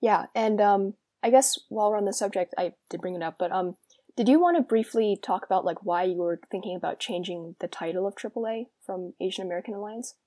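A woman's voice: mid-range voice, fairly quick, dark tone. A young woman in college co-hosting a student podcast, asking questions and reflecting in a thoughtful, hedging way. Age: young adult. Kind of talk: casual interview conversation.

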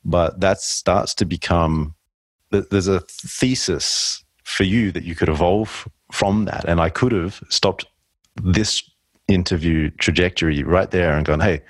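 Deep-voiced man, dry close sound. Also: a little slow, dry recording. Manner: thoughtful, rambling with frequent restarts. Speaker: podcast host. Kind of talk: casual interview conversation.

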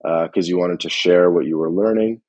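A man hosting a casual podcast interview, thinking aloud in a measured way as he frames his questions. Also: low voice, a little mellow.

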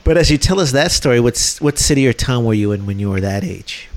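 A man's voice: medium pitch, lively intonation, fairly quick. Eager male radio co-host. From Chicago. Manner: enthusiastic, repeats words when starting sentences. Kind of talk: radio interview, asking questions.

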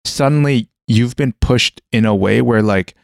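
A man's voice: medium pitch, unhurried, gravelly, dry recording, clean studio sound. A young man in casual conversation, talking through his thoughts hesitantly with many restarts and fillers. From Western world (Canada).

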